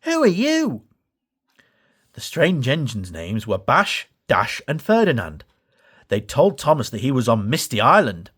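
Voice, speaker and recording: A man's voice: mid-range voice, big pitch swings, moderate pace, a bit bright, husky. A man reading a children's story as a scripted storybook narration. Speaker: story reader.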